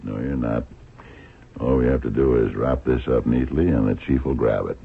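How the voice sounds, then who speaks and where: An actor playing a shrewd, dogged blind investigator in a radio drama, gravelly and husky, probing with hesitant, murmured questions. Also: male voice, a bass voice, moderate pace, full character voice. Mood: insistent. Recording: thin, phone-like old radio recording.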